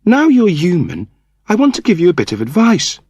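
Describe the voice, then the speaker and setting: A man's voice: sits high, huge pitch swings, fairly quick, a little mellow, slightly rough, no echo, voice pushed up, exaggerated voice. A man voicing a sensible, patient, slightly exasperated young woman, plain-spoken, in a sci-fi comedy audiobook. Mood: startled, firm.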